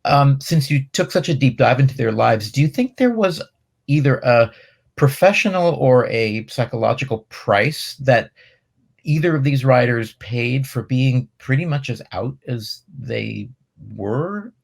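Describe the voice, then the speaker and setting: A man moderating a question and answer session in a thoughtful, conversational way, phrasing his questions in a measured, halting manner. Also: medium pitch, lively intonation, gravelly, slightly rough. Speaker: film festival Q&A moderator.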